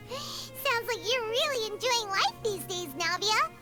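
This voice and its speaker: A woman voicing a small, chirpy, childlike fairy companion with a squeaky, bubbly delivery in an anime-style video game. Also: lively intonation, slow pace, exaggerated voice. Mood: cheerful. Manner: bubbly, speaks of herself by name. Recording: loud music over the voice. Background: playful game music.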